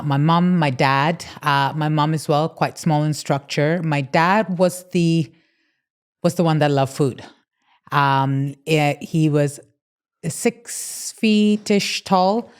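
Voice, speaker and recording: A woman's voice: sits low, takes it slowly, smooth. A woman talking as a podcast guest in a casual interview, earnest and open, with frequent "yeah" and "you know" fillers. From grew up between Dubai and Toronto, Canada. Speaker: podcast guest, emotional eating coach.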